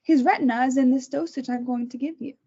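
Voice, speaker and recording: A woman voicing a calm, clinical woman who holds a captive and experiments on her, reading in a table read. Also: high-pitched, fast talker, slightly rough. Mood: cold. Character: coolly menacing woman doctor-kidnapper Beth. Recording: dull lo-fi recording.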